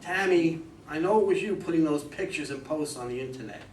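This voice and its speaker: A man's voice: fairly high pitch, a little fast, natural voice. A man in a staged play reading as a suspicious, decisive investigator boss, with an emphatic, theatrical delivery. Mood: stern, probing. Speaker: reader in a community play reading. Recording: distant mics, echoey room, faint noise.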